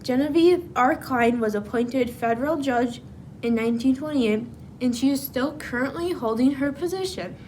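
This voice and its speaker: A woman's voice: moderate pace, darkish tone. A woman reading a scripted news report as an amateur reporter. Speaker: news reporter in a student history project. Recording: noisy, with low rumble.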